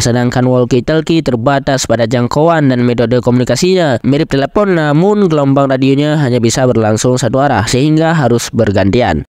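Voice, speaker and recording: A man's voice: medium pitch, darkish tone, clear, resonant tone, no room sound, clean studio sound. A man reading scripted news narration in a formal, explanatory manner.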